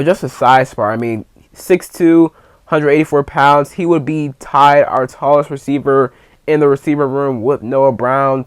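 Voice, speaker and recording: A man's voice: mid-range voice, no echo. Casual male football fan commentator. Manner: conversational, repeats words for emphasis. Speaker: fan channel host.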